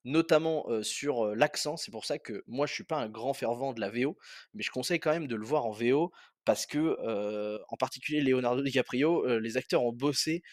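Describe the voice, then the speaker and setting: A man's voice: mid-range voice, brisk, no echo, clean studio sound. A young man hosting a podcast, reviewing a film in a casual, rambling way with many fillers and restarts. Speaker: podcast host.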